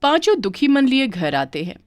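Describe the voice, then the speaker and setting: A woman's voice: sits high, sing-song, normal speed, no room sound. A woman reading a scripted children's moral story as its narrator.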